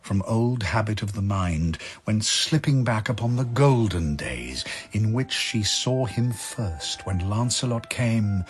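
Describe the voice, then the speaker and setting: A man narrating a dramatic verse tale in a husky voice, as scripted storytelling. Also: on the low side, animated, slow, hardly any pauses. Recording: music behind the voice. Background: music.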